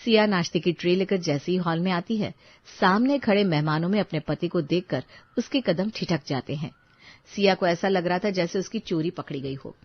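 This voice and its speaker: A woman's voice: lowish voice, lo-fi sound. A woman reading a scripted moral story as its narrator.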